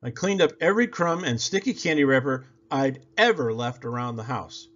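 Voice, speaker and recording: A man reading a children's story aloud in a theatrical, expressive way, stretching out words for effect. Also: mid-range voice, moderate pace. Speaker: storybook reader. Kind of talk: animated children's read-aloud. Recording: slightly dull, lo-fi audio.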